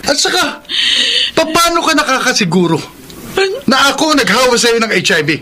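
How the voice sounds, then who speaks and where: A man's voice: very high-pitched, very animated, moderate pace, bright, forceful tone, heavily acted. A man playing a callous, cheating young boyfriend in a Filipino radio melodrama, theatrical and taunting, mixing in English. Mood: defensive, taunting.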